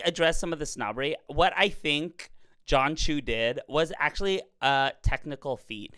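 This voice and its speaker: Campy chatty guy, bit hoarse from a cold, dry close sound. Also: mid-range voice, bright, forceful tone, no echo. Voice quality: raspy. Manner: chatty, campy, fast asides. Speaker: podcast host. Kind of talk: casual chat.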